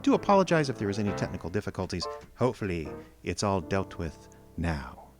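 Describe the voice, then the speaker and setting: Low-pitched man announcing a live show. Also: big pitch swings, a little fast, music in the background.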